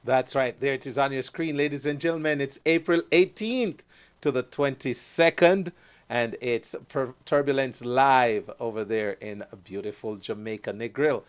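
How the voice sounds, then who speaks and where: A man hosting a live talk show, energetic and hyping up his guest and partner stations. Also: medium pitch, normal speed, smooth.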